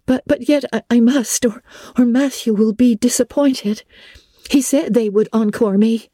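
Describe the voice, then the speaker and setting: Older reader lady doing a dreamy teen schoolgirl, high earnest voice, kinda breathless, soft edged, storybook style. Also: measured pace, no echo, studio quality. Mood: flustered.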